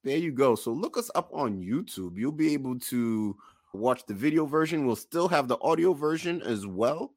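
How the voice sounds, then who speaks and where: A man hosting a casual podcast, addressing listeners in measured, promotional show-host phrases. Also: medium pitch, expressive, no echo, clean studio sound.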